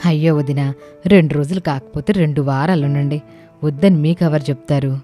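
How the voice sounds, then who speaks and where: A woman voicing a hospitable village mother who banters politely in an animated story, with music behind. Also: deep voice, normal speed, close to an everyday voice. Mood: welcoming. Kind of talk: acted dialogue in animated comedy story. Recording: music under the voice.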